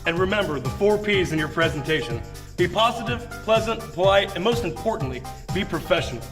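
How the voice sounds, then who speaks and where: Male co-host, high pitch, emphatic scripted reminders, music bed behind. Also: animated, a little fast. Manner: emphatic, repeats words for emphasis. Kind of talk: scripted recap. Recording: clear music under voice.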